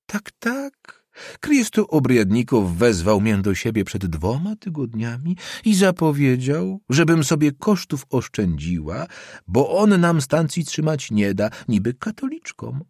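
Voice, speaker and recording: A man's voice: mid-range voice, sing-song, measured pace, a little mellow, husky, no room sound, studio quality, voice pushed up, full character voice. A man voicing a worried old woman who runs a boarding house, speaking plaintively. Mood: lamenting. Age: older. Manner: plaintive, lamenting.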